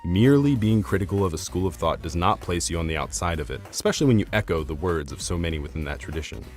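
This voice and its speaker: A man's voice: low voice, animated, quick pace. A man narrating a scripted, argumentative video essay in an articulate, formal, academic way. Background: soft instrumental music.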